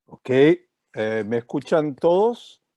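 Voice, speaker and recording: A man from Chile with a Chilean Spanish accent, giving an online lecture over a video call and pausing often with filler sounds. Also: a little high, very animated, warm tone, no room sound, dull audio. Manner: hesitant, many fillers. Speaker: guest speaker.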